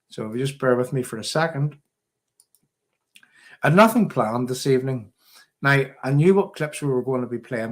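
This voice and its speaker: A man with a Northern Irish accent hosting a live political commentary show, talking to viewers in a rambling, repetitive, emphatic way. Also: medium pitch.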